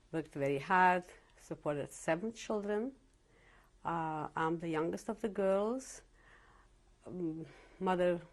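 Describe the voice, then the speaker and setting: Woman in her seventies, from Czechoslovakia, non-native English, lowish voice, reflective, hesitant. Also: creaky. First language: Yiddish or Slovak. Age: 70s. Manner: reflective, with frequent hesitations and restarts. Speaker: interviewee, Holocaust survivor. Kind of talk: oral history interview. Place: home interview in Cleveland.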